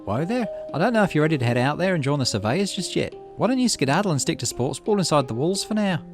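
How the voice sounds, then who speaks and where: A man's voice: a little high, big pitch swings, fast, over music, pitched above the performer's own voice. A man reading a game's dialogue aloud and voicing a casual, teasing woman who leads the surveyors.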